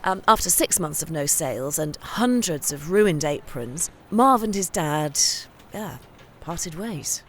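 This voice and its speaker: An actress playing an earnest biographer in a mock-documentary interview, casual, hesitant and often correcting herself. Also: female voice, deep voice, lively intonation, takes it slowly, slight background noise.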